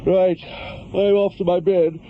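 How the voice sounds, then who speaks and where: An actor playing a matter-of-fact, clinical police doctor in a radio drama. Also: male voice, high-pitched, flat delivery. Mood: detached, laconic. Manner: unhurried, clinical, laconic. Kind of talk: naturalistic radio drama acting. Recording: dull lo-fi radio recording, noisy.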